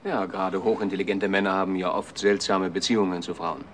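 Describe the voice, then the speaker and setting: An actor playing a calm, knowing police detective in a crime radio play. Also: male voice, sits low, quick pace, close to an everyday voice. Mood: calm, pointed. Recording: faintly noisy old radio drama recording.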